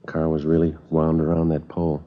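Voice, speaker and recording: Very deep-voiced, deadpan police sergeant. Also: male voice, brisk. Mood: businesslike. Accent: American. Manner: deadpan, terse. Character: terse, matter-of-fact male police sergeant, narrating his case. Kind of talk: 1950s radio drama acting, clipped narration. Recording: dull vintage radio recording with music.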